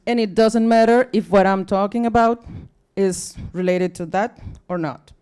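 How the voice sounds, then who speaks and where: A young woman from Mexico talks to a live audience in accented English, Spanish being her first tongue. Her voice is mellow and warm, and she sounds tired. She rambles, apologizes often and fills gaps with ums. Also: mid-range voice, moderate pace, smooth. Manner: chatty and apologetic, with many fillers and restarts. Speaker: guest speaker at a science talk night. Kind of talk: casual, self-deprecating live talk. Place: bar or event room with a live audience.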